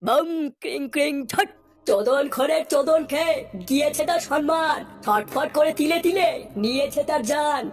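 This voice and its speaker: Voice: sits high, normal speed, faint music behind. A performer voicing a boastful, touchy village holy man with theatrical delivery in a comic cartoon dub. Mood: ominous. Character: gruff, boastful holy man chanting curses and prophecies.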